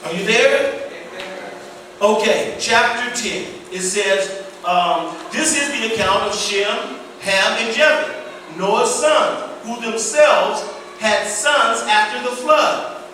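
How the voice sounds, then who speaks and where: A male pastor teaching slowly through a Bible passage, repeating words and stumbling over old names. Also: high-pitched, bright, forceful tone, slight background noise. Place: church hall. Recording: echoey hall, voice far from mic.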